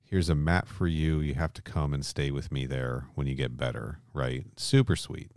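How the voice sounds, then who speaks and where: A man's voice: a bass voice, steady tone, normal speed, a little mellow, no room sound, studio quality. A man hosting a podcast, casually recapping a story in colloquial, mildly sweary language and often checking that his listener agrees. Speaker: podcast host.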